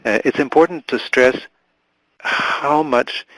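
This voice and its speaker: A man giving simultaneous interpretation in a webinar, halting and full of fillers and restarts. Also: mid-range voice, fairly bright tone, no echo, low-quality recording.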